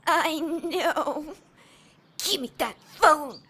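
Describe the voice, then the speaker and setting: Slow, high-voiced sinister old lady. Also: pushed and bright, exaggerated voice. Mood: coldly demanding. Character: sweet-sounding, sinister, menacing old lady. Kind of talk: audio drama acting.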